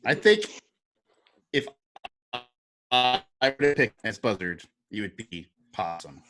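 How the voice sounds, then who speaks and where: A man's voice: on the low side, expressive, measured pace, a bit bright. A man chatting casually on a group video call, answering questions as a cast member. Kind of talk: casual chat. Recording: faint noise and clicks.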